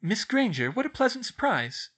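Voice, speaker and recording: A man's voice: fairly high pitch, very animated, fairly quick, bright, forceful tone, voice pushed up, exaggerated voice. A man voicing a kindly, concerned woman professor, friendly and reassuring in manner. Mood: welcoming.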